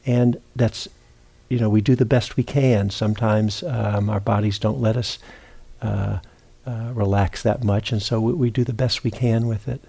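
A man with a low, very mellow and relaxed voice leads a guided meditation. He speaks as a teacher, gently and without hurry, leaving long pauses between short phrases. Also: creaky, husky.

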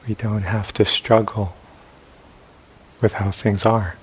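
A man leading a guided meditation as a teacher, speaking in sparse short phrases with long pauses between them. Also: lowish voice, little pitch movement, breathy edge, rough recording. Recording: faint hiss and background noise.

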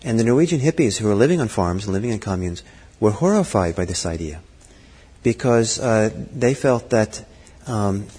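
Low husky man in a reverberant hall. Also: animated, warm tone. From Norway. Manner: thoughtful, halting, many fillers and restarts. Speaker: Buddhist teacher. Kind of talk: dharma talk.

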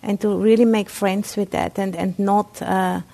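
A woman from Austria with an Austrian German accent, a Buddhist nun giving a dharma talk at a meditation center, speaking haltingly with many fillers and restarts. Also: medium pitch, little pitch movement, vocal fry, mellow.

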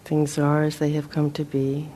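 A woman leading a guided meditation as a teacher, speaking gently and in a measured way with long pauses. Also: deep voice, monotone, slight background noise.

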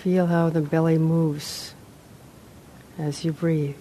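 Female meditation guide, lower-pitched and soft-toned mellow voice, unhurried phrases with long pauses, faint rumble behind. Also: flat delivery, poor audio. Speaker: meditation teacher. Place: meditation center, live talk.

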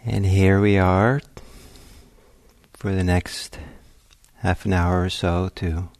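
Slow, low-voiced man guiding meditation. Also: flat delivery, no echo. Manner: gentle, hesitant, reflective phrasing with long pauses. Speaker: meditation teacher. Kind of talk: guided meditation talk.

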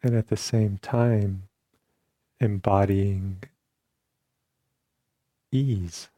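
Male meditation teacher, low-pitched and very slow, calm delivery with generous pauses. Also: dry recording. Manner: calm, unhurried, with long pauses between short phrases. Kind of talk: guided meditation instruction.

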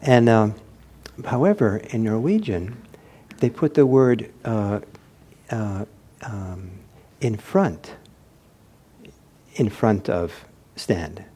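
A man giving an informal meditation talk, reflective and hesitant as he searches for words, with a soft, husky voice. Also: on the low side, lively intonation, extremely slow. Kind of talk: informal spoken teaching with anecdotes.

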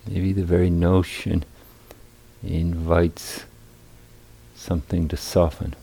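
A man teaching guided meditation at a retreat, speaking in deliberate, hesitant phrases with long pauses. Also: low voice, little pitch movement, dark tone, slightly rough, rough recording.

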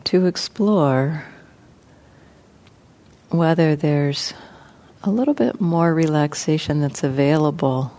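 A woman teaching guided meditation in a gentle, unhurried manner, leaving long pauses between short phrases. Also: low voice.

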